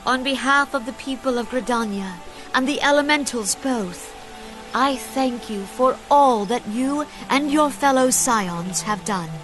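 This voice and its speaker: A woman's voice: fairly high pitch, close to an everyday voice. A woman voicing a gracious, earnest leader of a forest nation in a fantasy video game, speaking with solemn, archaic formality. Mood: grateful, resolved. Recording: loud music under voice.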